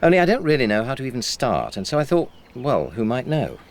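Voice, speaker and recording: A reserved British family man in a radio drama. His voice is medium in pitch and quite animated in its movement. He speaks politely, with understatement and slight hesitation. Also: fast talker. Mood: guarded, casual. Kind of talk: naturalistic radio drama dialogue.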